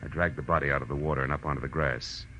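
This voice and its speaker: An actor playing a tough, wry private detective in 1940s radio drama style, terse and dramatic. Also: male voice, extremely low pitch, fairly even intonation, rapid-fire, pushed and bright, slight background noise. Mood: tense narration. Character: tough, wry male private detective narrating his case. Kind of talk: 1940s radio drama acting, hard-boiled narration. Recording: muffled old radio recording with music.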